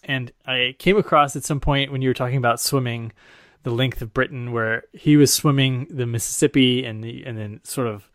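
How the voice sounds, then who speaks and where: A man's voice: mid-range voice, steady tone, moderate pace, fairly bright tone, dry recording, clean studio sound. A man hosting a podcast, introducing and interviewing his guest. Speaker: podcast host.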